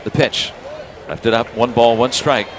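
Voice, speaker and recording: A man with an American accent calling live baseball play-by-play on the radio in clipped phrases with pauses between pitches. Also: steady tone, noisy background.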